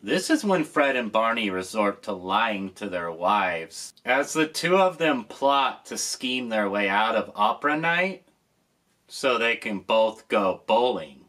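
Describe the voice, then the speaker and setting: Slow, lively-voiced nostalgic host. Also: male voice, fairly low pitch. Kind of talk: nostalgic commentary.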